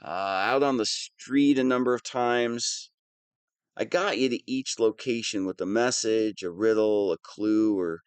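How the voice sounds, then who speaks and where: Man acting a whiny wannabe super-villain, swinging pitch. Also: dry recording. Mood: earnest, rueful. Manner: whiny, self-pitying, dramatic swings. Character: whiny, hapless, soft-hearted male wannabe super-villain. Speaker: audio roleplay voice actor. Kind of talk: scripted one-sided audio roleplay monologue.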